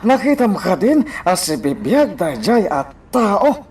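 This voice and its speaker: A man with a Filipino accent playing a calm, sly mayor in a radio drama, smug and theatrical. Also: sits high, unhurried, breathy edge, exaggerated voice. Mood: smug, reassuring. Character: calm, sly mayor soothing a nervous ally. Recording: distant mic with faint noise.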